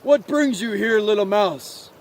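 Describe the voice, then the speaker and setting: A man voicing a calm, sly, wise frog in a story, speaking in a measured, coaxing way. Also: high-pitched.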